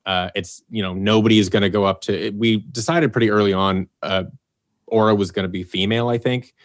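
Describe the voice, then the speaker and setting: A man's voice: fairly low pitch, brisk. A man talking casually in a podcast interview about writing an audio drama, rambling a little and acting out what he and his team said. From Los Angeles area, United States. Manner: rambling, restarts and fillers, animated when quoting himself. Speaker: producer and writer of an audio drama.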